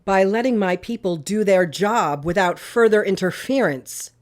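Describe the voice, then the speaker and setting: A woman's voice: medium pitch, normal speed, darkish tone, lightly acted. An actress playing a stern, skeptical female intelligence director in an audio drama, authoritative, clipped and sardonic. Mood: coolly stern.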